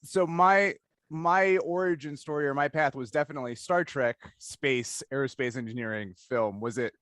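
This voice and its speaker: A man hosting a casual interview, speaking in a halting, self-correcting way. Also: medium pitch, fairly bright tone, dry recording. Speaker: podcast host and interviewer. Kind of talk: casual interview intro and chat.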